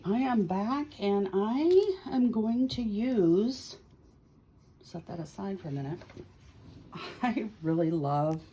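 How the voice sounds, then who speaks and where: A woman's voice: mid-range voice, gravelly, mellow, breathy edge. A woman hosting a tarot reading, chatting casually and thinking aloud in short, musing phrases. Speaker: tarot reader hosting a video series.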